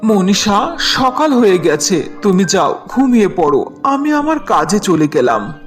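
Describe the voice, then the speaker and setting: A woman as a loving cartoon fisherman, tender, earnest, lively swooping intonation, music behind. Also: medium pitch, a little slow. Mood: gently concerned. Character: gentle, loving fisherman husband in village tale.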